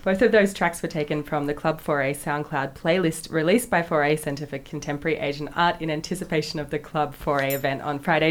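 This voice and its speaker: A woman hosting a radio interview, asking chatty, relaxed questions with fillers. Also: sits low. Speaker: radio host.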